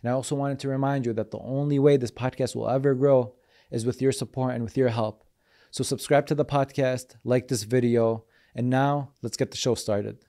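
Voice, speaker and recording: A young man hosting a podcast with a mellow, warm tone and a mid-range pitch. He asks his questions plainly and directly. He speaks into a boom mic in a studio. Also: steady tone, normal speed. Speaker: podcast host. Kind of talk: podcast interview. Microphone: studio mic on boom arm.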